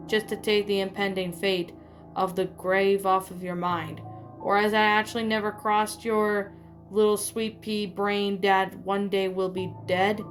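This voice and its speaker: A woman voicing a gloomy, morbid boy who lectures in a slow, deadpan way. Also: mid-range voice, close to an everyday voice.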